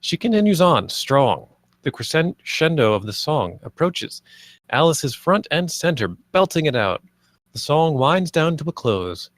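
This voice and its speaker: A man's voice: a little high, very animated, unhurried, no echo. A man reading out stage directions and scene headings as narrator at a film table read.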